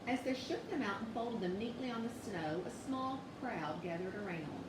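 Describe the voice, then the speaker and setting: A woman reading a children's bedtime story aloud with expressive, measured delivery. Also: medium pitch. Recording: echoey room, distant mic, noisy background.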